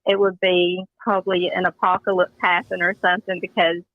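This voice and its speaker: A woman's voice: fairly low pitch, little pitch movement, brisk, no room sound. A woman calling into a show, chatty and friendly, repeating her words, over a tinny phone line. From Kingsport, US East. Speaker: caller.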